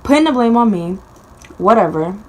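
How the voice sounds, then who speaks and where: A young woman vlogger telling a childhood story in casual chat, rambling with many fillers and restarts, her voice often dropping very quiet. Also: medium pitch, expressive, normal speed.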